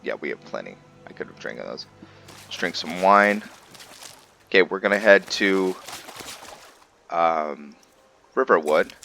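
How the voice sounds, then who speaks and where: Male gaming host, low and bright-edged voice, thinking aloud haltingly, with music underneath. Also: lively intonation, vocal fry. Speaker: gaming video host and roleplayer. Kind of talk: casual gameplay commentary with roleplay storytelling.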